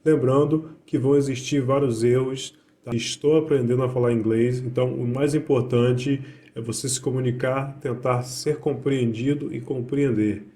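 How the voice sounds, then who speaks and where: A man, the channel host, speaking an intro straight to viewers in a clear, instructive way. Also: mid-range voice, fairly even intonation, brisk, mellow. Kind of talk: spoken intro to a video.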